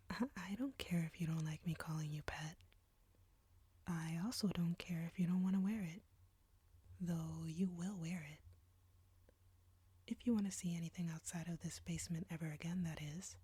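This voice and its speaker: Woman acting as smug flirty vampire lady, super breathy whisper, dark slow voice, bossy teasing roleplay. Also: lowish voice, no room sound. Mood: commanding, possessive. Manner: slow, taunting, commanding. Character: arrogant, flirty female vampire captor, teasing and domineering. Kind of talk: intimate whispered audio roleplay.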